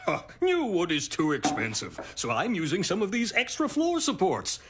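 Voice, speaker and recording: A man's voice: high voice, wildly swooping pitch, moderate pace, background noise. A man voicing a thrifty, easily startled dad in a children's cartoon. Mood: casual, strained.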